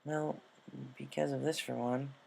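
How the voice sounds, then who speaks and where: A woman voicing a young female cartoon pony, a loyal friend full of guilt, speaking in a halting, emotional, pleading way. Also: a bass voice, fairly even intonation, creaky, a little mellow, slight background noise, exaggerated voice, poor audio. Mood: concerned, gentle.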